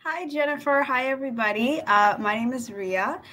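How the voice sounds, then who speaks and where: A woman's voice: high-pitched, moderate pace, pushed and bright, low-quality recording. A young woman, a native Hindi speaker, introducing herself as a student panelist on a video call. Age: young adult. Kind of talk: self-introduction on a live panel. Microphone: computer video call.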